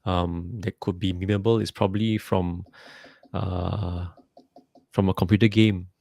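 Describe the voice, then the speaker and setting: Low, gravelly-voiced man chatting. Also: flat delivery, darkish tone, no room sound, clean studio sound. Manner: rambling, halting with many fillers and repeats. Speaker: podcast co-host. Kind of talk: casual chat.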